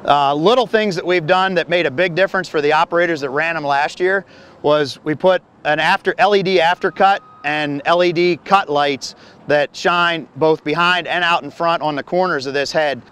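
A man in his thirties gives a product walkaround outdoors by a combine, talking plainly with lots of "uh" and restarts. His voice is smooth and resonant, a touch higher than most men's. It is picked up by a lapel mic in the open air. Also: moderate pace, a bit bright. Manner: plain explanatory, frequent uh fillers and restarts. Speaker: farm equipment company representative. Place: outdoors on a grassy field beside a combine.

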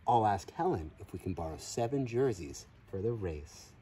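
Man playing an excited young horse, very animated. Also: fairly low pitch, normal speed, slightly rough, distant mic, pitched below the performer's own voice. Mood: eager. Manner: eager, announcing.

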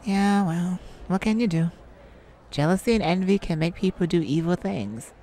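A woman's voice: lowish voice, moderate pace, warm tone, voice pushed down, close to an everyday voice. A woman voicing a shy, sweet, easily flustered young male singer in an ASMR roleplay, with a stammering, earnest manner. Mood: concerned.

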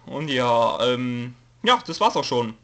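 A man's voice: medium pitch, sing-song, slow pace, fairly bright tone. A young man who has a cold, hesitantly rambling through a casual channel update with lots of fillers and jokes. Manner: hesitant, many fillers, reads from a note, jokey. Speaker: YouTube let's player giving a channel update. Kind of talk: casual rambling info video.

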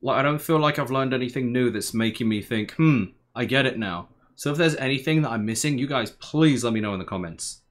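A young man giving casual, slangy reaction commentary, musing aloud in a laid-back, tired, low-energy way. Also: fairly quick. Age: young adult. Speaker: anime reaction host. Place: small bedroom, indoors.

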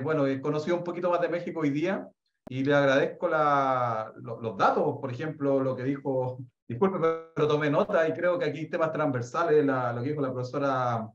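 A man in his thirties with a Chilean accent, chatting animatedly on a video call from an office and restarting his sentences often. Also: medium pitch. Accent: Chilean Spanish. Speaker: researcher. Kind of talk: casual panel comments on a video call. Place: office with bookshelves. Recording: roomy, dull video call audio.

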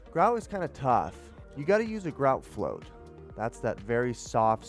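A young man, a home improvement vlogger, chatting casually through his tiling job with tips and frank admissions of his own mistakes. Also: on the low side. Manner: chatty, self-critical, explaining tips. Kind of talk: casual DIY voice-over. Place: small basement bathroom, indoors.

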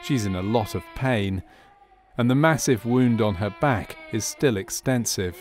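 A man narrates a medical training film in a fairly low voice. His delivery is scripted documentary narration, explaining things as a narrator would. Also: a little slow, faint music behind.

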